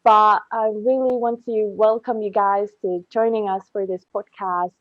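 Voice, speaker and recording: A woman's voice: medium pitch, steady tone, a little slow, no echo. Woman hosting a chatty podcast, kinda rambly and keen, dry slightly muffled audio. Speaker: podcast host. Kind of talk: casual podcast interview.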